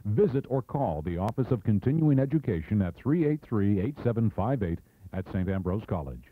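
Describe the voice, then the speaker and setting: A raspy American man reads a local radio ad with lively intonation. The sound is a narrow, lo-fi AM broadcast. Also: lowish voice, normal speed, no echo. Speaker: commercial announcer. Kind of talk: scripted local radio advertisement. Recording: dry narrowband AM radio recording.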